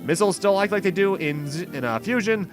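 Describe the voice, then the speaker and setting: Male let's play host, rambling explainer, animated delivery, game music underneath. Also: high voice, fast. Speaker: gameplay host. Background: video game music.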